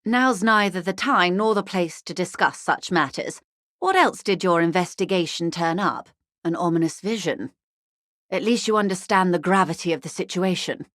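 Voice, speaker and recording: An actress playing a wealthy corporate negotiator in a video game, refined and polished, speaking with urbane, wryly courteous, measured irony. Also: female voice, lowish voice, animated, a bit bright, no room sound, studio quality. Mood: grave, businesslike. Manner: urbane, measured, dryly ironic. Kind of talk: scripted game dialogue, conversational.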